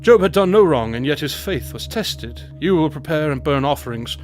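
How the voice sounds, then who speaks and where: A man playing a mysterious, authoritative divine guide in a biblical radio drama, speaking in formal, measured pronouncements. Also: on the high side, lively intonation, faint music behind, natural voice. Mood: solemn authority.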